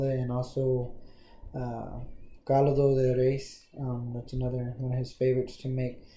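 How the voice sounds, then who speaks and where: A man's voice: medium pitch, dark tone. A young man from the Panhandle, answering interview questions with many ums and uhs. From Texas Panhandle, USA. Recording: echoey room, faint ambient noise.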